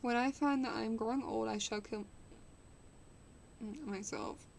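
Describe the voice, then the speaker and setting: A woman's voice: fairly high pitch, a little slow, gravelly, slight background noise. A woman voicing a vain young man who dreads growing old, reading in her own voice with small stumbles. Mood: bitter. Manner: reads with small stumbles and restarts. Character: young man, vain, anxious about aging.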